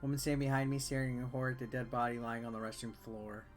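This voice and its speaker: A man's voice: medium pitch, fairly even intonation, fast talker. A man reading visual novel text aloud as a game player, with offhand, wry asides. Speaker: video game reviewer and let's player. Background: game music.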